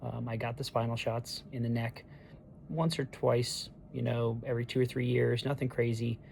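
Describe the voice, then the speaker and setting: A man in his thirties with a hoarse voice the day after neck surgery, talking through a casual video diary in a halting way, full of ums and restarts. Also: mid-range voice, flat delivery, a little mellow, a little hiss or hum. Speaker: patient sharing a recovery diary. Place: hospital room on discharge day.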